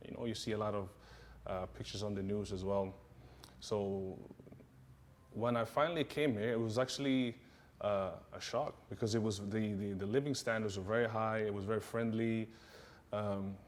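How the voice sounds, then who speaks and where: A low-pitched man answers questions casually. He speaks in a relaxed way, full of fillers and quick repeated yeahs. He sounds like a martial arts instructor chatting about his life. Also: a little hiss or hum. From Sweden.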